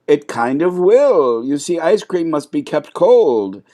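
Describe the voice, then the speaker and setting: A man's voice: a little high, big pitch swings, takes it slowly, dark tone. Guy doing a frazzled ice cream shop boss, huffy, voice bouncing all over. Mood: exasperated. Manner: exasperated, huffy, flustered. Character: flustered male ice cream shop owner. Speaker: improv player.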